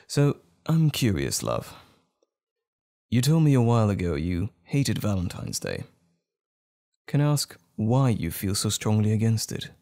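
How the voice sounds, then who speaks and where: A man playing a tender, smitten date in an intimate romantic ASMR roleplay, speaking gently and hesitantly with lingering pauses, close to the microphone. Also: on the low side, sing-song, vocal fry, full character voice. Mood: gentle, earnest. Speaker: audio roleplay performer.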